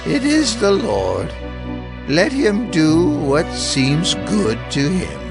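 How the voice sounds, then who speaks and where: Creaky, wavering old priest. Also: male voice, a little high, big pitch swings, music in the background, full character voice. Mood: resigned. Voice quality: creaky, aged. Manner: slow, wavering, fatherly. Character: Eli, frail old male priest, grave and fatherly. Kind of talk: dramatized audio Bible.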